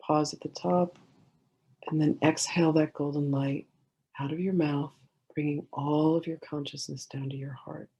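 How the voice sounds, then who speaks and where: A woman leading a guided meditation in a gentle, soothing way, giving slow instructions with many pauses. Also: low voice, level tone, breathy edge, lo-fi sound.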